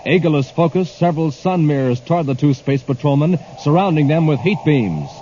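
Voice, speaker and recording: A male announcer on a dull, clearly noisy old radio recording. He delivers a story recap in the manner of a 1950s adventure serial narrator. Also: on the high side, expressive, brisk, mellow.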